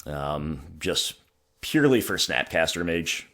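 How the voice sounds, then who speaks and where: A hoarse man thinking aloud in a wry, self-mocking way as he commentates his own gameplay. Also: extremely low pitch, big pitch swings, takes it slowly, sharp tone. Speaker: gaming channel host.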